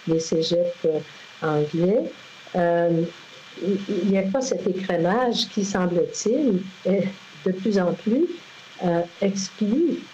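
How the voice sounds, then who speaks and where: A woman with a Québécois accent speaking haltingly at a hearing, full of fillers. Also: on the low side, warm tone, lo-fi sound, slight background noise. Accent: Québécois French.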